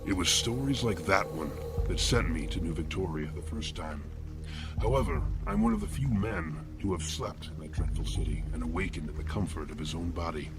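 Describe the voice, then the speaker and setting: Guy playing a brooding eerie killer narrating a horror podcast, super low voice, grave n wordy, loud music under it. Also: lightly acted. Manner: ornate, grave, matter-of-fact. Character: brooding, eerie male artist-killer recounting a nightmare journey. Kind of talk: scripted horror fiction narration.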